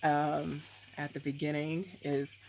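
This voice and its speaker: Slow-talking woman with a gravelly edge. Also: deep voice, flat delivery, darkish tone, poor audio. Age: 30s. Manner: chatty, rambling with many ums and likes. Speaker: vlogger. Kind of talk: casual book review vlog.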